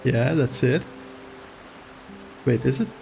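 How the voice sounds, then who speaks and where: A man's voice: medium pitch, slow, creaky, dark tone, faint music behind, rough recording. A man chatting casually as a game stream host, rambling and hesitant, prone to giggling. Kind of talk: casual livestream chat.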